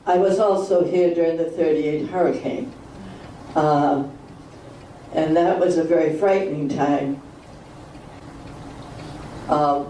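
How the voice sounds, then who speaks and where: An older woman with a loud voice, sharing old family memories in a wry, chatty way. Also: low voice. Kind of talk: oral history reminiscence. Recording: roomy hall from far off, faint noise.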